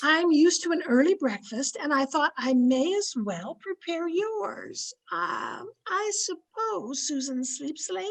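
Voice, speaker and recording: A woman's voice: high voice, lively intonation, slow, few pauses, full character voice. A woman playing a cheerful, meddlesome older unmarried aunt in an old-time radio drama, chatty and knowing. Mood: cheerful, scheming.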